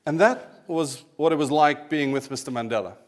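A man's voice: fairly high pitch, moderate pace. A South African man in his forties, a pastor giving a conversational sermon with personal stories and self-deprecating asides. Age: 40s.

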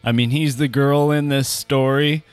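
A man's voice: medium pitch, fairly even intonation, measured pace, clean tone, dry recording, faint music behind. A man co-hosting a podcast, chatting casually in a rambling, conversational way. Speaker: podcast co-host. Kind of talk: casual chat.

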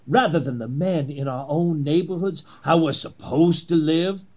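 A man acting as a persuasive, grandiose Confederate conspiracy leader in an 1860s period drama, with theatrical, pleading oratory and emphatic pauses. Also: on the high side, darkish tone, close to an everyday voice. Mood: rousing.

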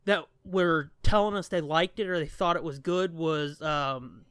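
A man's voice: fairly high pitch, a little slow, fairly bright tone, clear, resonant tone, dry recording, clean studio sound. A man co-hosting a podcast in casual, rambling and casually profane chat. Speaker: podcast co-host.

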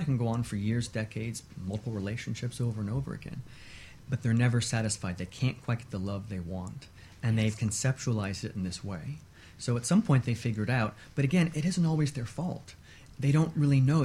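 A man speaking as an expert guest in a radio interview, explaining in a measured way with short repeated lists. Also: mid-range voice, darkish tone, slight background noise.

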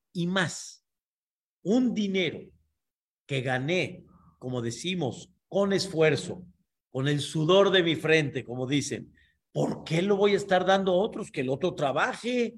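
A man leads a Torah class as its teacher. His voice sits high for a man, and he explains each point patiently, pausing to ask whether his listeners follow. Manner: explanatory, checks understanding with frequent asides.

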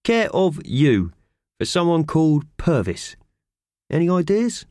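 A man's voice: on the high side, very animated, a little slow, a little mellow, no room sound, studio quality, pitched above the performer's own voice. A man voicing a chatty, nosy postman in a children's storybook, rambling and inquisitive.

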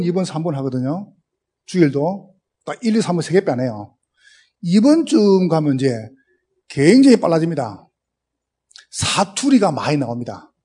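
A pastor from the Gyeongsang region, a man with a thick Gyeongsang accent, preaches with lively storytelling and acts out the voices in his anecdotes. His voice sits a little high for a man, dark and slightly husky, with a mellow tone. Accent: Gyeongsang Korean. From Gyeongsang, South Korea. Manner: storytelling, acts out dialogue, speeds up and laughs. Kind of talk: humorous sermon with anecdotes.

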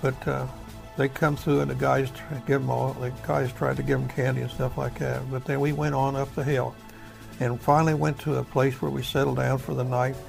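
A man's voice: mid-range voice, level tone, fairly quick, soft background music, rough recording. An elderly American man, a war veteran telling his memories in a folksy, rambling way, searching for words. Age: older, over 70. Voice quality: gravelly. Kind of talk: oral history storytelling.

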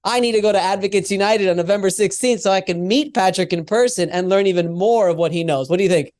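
A man's voice: sits high, fast, a little mellow. A man hosting a podcast, enthusiastically introducing his guest and the episode topic. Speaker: podcast host. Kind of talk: podcast interview.

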